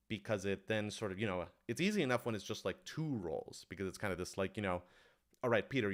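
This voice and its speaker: Man, low voice, casual livestream Q&A, thoughtful, self-qualifying, animated explainer. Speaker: writer-director answering audience questions.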